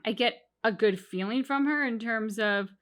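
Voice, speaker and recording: A woman's voice: a little high, normal speed, a bit bright. A woman co-hosting a reaction show, talking casually and reflectively through her opinions.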